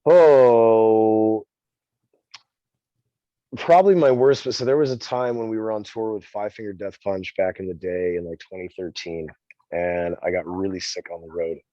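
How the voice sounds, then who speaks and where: Brief: easygoing rock singer, very low voice, muffled and dry recording. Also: male voice, measured pace, mellow, dry recording. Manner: easygoing, rambling, calls the host "man" and "dude". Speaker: band singer. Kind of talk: casual interview talk. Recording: dull, dry sound.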